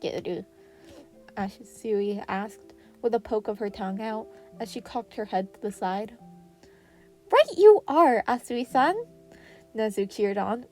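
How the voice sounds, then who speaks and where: A woman reading fan fiction aloud in an expressive narrating style. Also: medium pitch.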